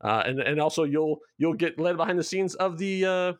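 A man's voice: fairly high pitch, moderate pace, no echo, studio quality. A man speaking as a show creator in a casual promotional chat, rambling along in a jokey, self-deprecating way. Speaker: show creator being interviewed.

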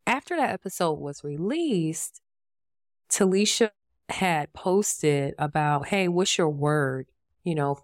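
Woman host, low and raspy, chatty solo podcast delivery in a dry, close sound. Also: no echo. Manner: chatty and conversational, with frequent fillers and asides. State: raspy from allergies. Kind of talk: casual solo podcast monologue.

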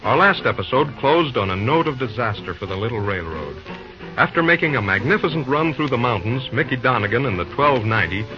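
A male announcer delivers scripted narration for a vintage radio drama in a mid-pitched voice. Loud music plays behind him, and the recording is dull and lo-fi.

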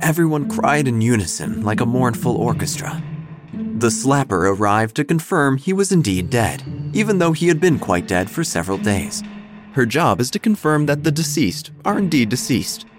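A man as a dramatic, deadpan storyteller. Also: mid-range voice, normal speed, music in the background, natural voice. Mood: solemn, deadpan. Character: wordy, morbidly droll male narrator investigating deaths. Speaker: narrator and lead character. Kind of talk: scripted darkly comic audio drama narration.

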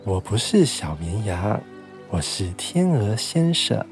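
A man voicing a calm, helpful swan gentleman who speaks slowly and softly. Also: fairly low pitch, faint music behind, full character voice.

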